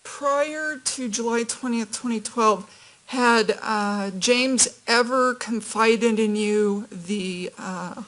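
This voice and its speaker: A woman attorney questions a witness in a courtroom. Her voice sits a little high, and her delivery is measured and gentle, with small hesitations. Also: a little hiss or hum.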